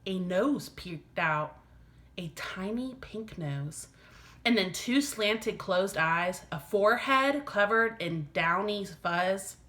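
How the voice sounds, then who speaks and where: A woman reads a children's story like a teacher to young pupils. Her voice is bright and medium-pitched, and her phrasing is careful and expressive. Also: slow pace. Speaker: elementary teacher reading aloud. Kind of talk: expressive scripted storybook narration.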